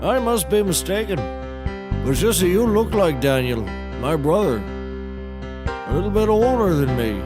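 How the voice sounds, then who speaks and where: Music plays behind a man acting as a comedy lawyer with a fairly high voice. He is pompous and folksy, rambling theatrically through his courtroom patter like a bumbling amateur attorney. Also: big pitch swings, measured pace, pauses often.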